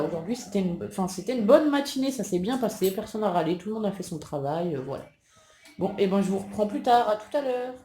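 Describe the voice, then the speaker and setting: A woman's voice: lowish voice, warm tone, roomy sound, a little hiss or hum. A mother vlogging from home in a casual, rambling way, with many fillers and self-corrections. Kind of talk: casual chat to camera.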